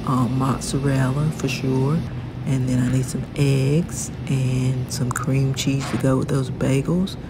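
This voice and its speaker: A woman's voice: a bass voice, vocal fry. A woman vlogging her grocery run in a supermarket, talking casually with frequent ums as she films on her phone. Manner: relaxed, chatty, frequent ums. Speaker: vlogger, mother doing grocery shopping. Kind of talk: casual first-person narration while shopping. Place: supermarket aisles, indoors. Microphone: phone camera held while pushing a cart. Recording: noisy store ambience.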